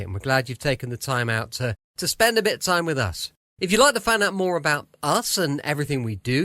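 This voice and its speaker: A man's voice: a little high, a bit bright, no room sound, clean studio sound. A British man hosting a late-night podcast, chatting casually and speaking directly to the listener. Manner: conversational, addresses listener directly. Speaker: podcast host.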